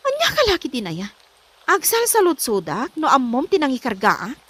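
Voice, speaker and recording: Casting: actress as imperious, short-tempered female employer, Filipino accent, high and very lively delivery, comedy drama. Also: normal speed, husky, heavily acted. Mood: bossy, scolding. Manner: scolding, imperious. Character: haughty, bossy, quick-tempered woman employer of a housemaid.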